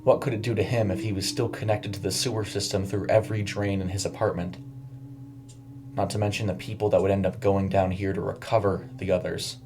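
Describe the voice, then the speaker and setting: A man's voice: on the low side, flat delivery, brisk. A man narrating a scripted horror short story in a dramatic storytelling style. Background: music under the voice.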